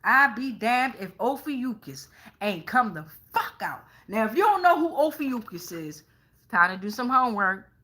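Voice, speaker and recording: A woman's voice: a little high, very animated, moderate pace, sharp tone. A middle-aged woman with an American accent doing a casual live tarot reading in a sassy, emphatic way, swearing freely. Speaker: tarot reader hosting a live stream.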